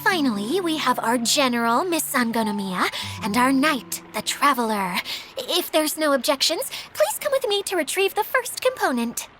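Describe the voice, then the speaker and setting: A woman's voice: high voice, very animated, moderate pace, hardly any pauses, fairly bright tone, soft background music. A woman voicing a timid, polite and self-doubting mascot caretaker in a video game, speaking in a hesitant, apologetic way. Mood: earnest, polite.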